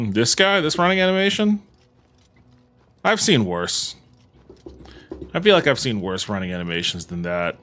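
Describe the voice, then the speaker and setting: A man's voice: medium pitch, very animated, measured pace, pauses often, fairly bright tone. A man streaming a game, talking casually and swearing freely as he plays, like a livestream host. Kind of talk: casual livestream chat while gaming.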